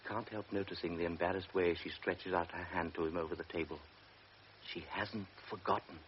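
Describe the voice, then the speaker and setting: Low male narrator, measured old radio drama storyteller. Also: steady tone. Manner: measured, present-tense storytelling. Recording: dull old radio recording with faint hiss.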